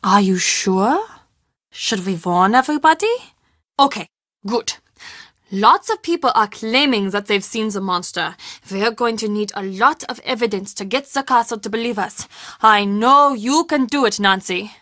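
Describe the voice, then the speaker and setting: A woman's voice: mid-range voice, expressive, moderate pace, pushed and bright, no echo, clean studio sound. A woman acting a theatrical castle woman in scripted mystery game dialogue, with an emphatic, dramatic delivery. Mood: worried, earnest. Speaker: voice actor in a mystery adventure game.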